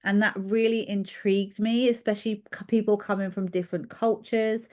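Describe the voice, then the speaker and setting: A woman's voice: mid-range voice, flat delivery, moderate pace. A British-born woman, a children's therapist, talking in a podcast interview and telling her story with many "um"s and a reflective manner. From United Kingdom. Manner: warm reflective storytelling with frequent fillers. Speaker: guest therapist.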